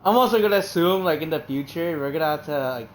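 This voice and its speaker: A woman's voice: low-pitched, quick pace, slight background noise. A woman streaming a video game, rambling in casual commentary, swearing and laughing often.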